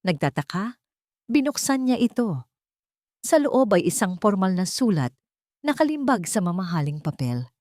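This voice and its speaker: A woman narrating a scripted audio drama in a measured, sentimental storytelling manner. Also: mid-range voice, very animated, a little mellow, no room sound, studio quality.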